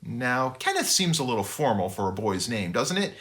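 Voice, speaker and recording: A man reading his own book aloud for children in an expressive way, with conversational asides. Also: medium pitch, moderate pace. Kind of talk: animated storybook read-aloud for children.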